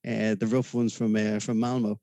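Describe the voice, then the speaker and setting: Gravelly Dublin Irish man. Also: fairly low pitch, level tone, normal speed, dark tone, dry recording, muffled recording. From Ireland. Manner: rambling, hesitant, many fillers, laughs easily. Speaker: podcast guest. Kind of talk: casual interview chat.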